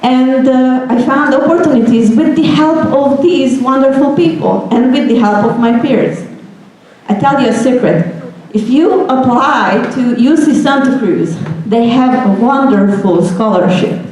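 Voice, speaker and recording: A woman's voice: high-pitched, unhurried, darkish tone. A woman in her thirties from Hungary with a Hungarian accent, giving an earnest motivational talk to students and asking them questions. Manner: earnest, asks the audience questions. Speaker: guest speaker. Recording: echoey room recording.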